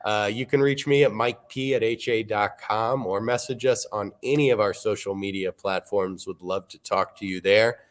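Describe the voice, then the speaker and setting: A man, hosting a live auction broadcast in a relaxed, wry, informative way. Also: on the low side, moderate pace, few pauses. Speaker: auction show host.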